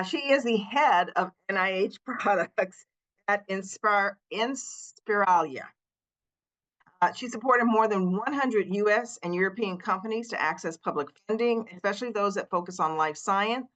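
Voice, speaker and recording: A woman hosting an event, reading a prepared introduction for the next speaker in a measured way. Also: lowish voice, fairly bright tone, low-quality recording. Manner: measured, reads a prepared bio.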